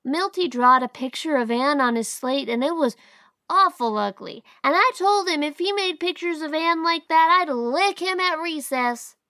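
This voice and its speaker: Lady doing a lil boy rambling eagerly, high voice, dry. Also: lively intonation, normal speed, bright, forceful tone, dry recording, heavily acted. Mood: chattering eager. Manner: chatty, eager. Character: boastful lively small boy, about six.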